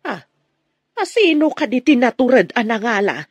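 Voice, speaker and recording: An actress playing a protective, sympathetic mother who gently scolds, in an Ilocano radio drama. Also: a little high, very animated, normal speed, husky, no room sound. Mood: concerned.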